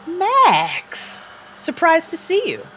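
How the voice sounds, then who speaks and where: A female vampire clan leader for an audio drama. Her delivery is highly animated, mocking and in command. There is clear workshop noise behind her. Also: very slow, heavily acted. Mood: mock-surprised, commanding. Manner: mocking, condescending.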